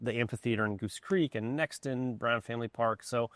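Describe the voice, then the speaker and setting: Man, mid-pitched, informal and enthusiastic interview guest, dry close sound. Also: a little fast, a bit bright, no room sound. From Poland. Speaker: orchestra music director, interview guest. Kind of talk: casual conversational interview.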